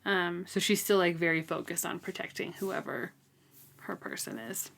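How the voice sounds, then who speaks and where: A woman with a slightly low voice talks in a loose, conversational way as a podcast co-host. She discusses a book informally, dropping "like" often as she goes. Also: animated, moderate pace, vocal fry, a bit bright. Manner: informal, frequent "like" as filler. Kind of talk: casual book discussion chat.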